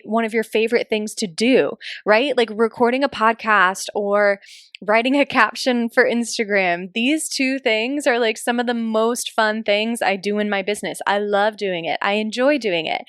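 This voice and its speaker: A woman's voice: a little high, a bit bright, clean tone, no echo, clean studio sound. A woman hosting a solo podcast in a casual, chatty and emphatic way, speaking informally as a business coach.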